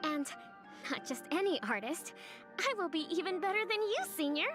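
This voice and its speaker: Bold teasing schoolgirl, very high voice, animated swings, anime game style, music behind. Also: normal speed. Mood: playful, boastful. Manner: teasing, excitable. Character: playful, bold, cheeky young girl student with artistic ambitions.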